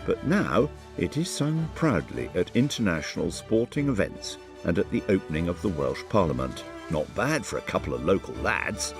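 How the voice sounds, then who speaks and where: A man's voice: low-pitched, very animated, moderate pace. A man narrating a documentary in a scripted, wry voice-over. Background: background music.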